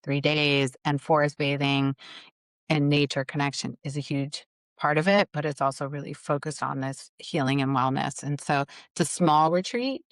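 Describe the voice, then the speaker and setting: Smooth-voiced woman, earnest. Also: sits low, steady tone, normal speed, dry recording, studio quality. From Massachusetts, USA. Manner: earnest, thoughtful, restarts often. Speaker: guest, retreat leader. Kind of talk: casual conversation.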